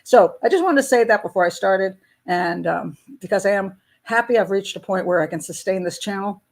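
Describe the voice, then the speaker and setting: A woman's voice: medium pitch, brisk. A woman in her sixties hosting a casual live chat with her patrons, talking in a candid, chatty way. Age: 60s. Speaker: host of a crime-profiling channel.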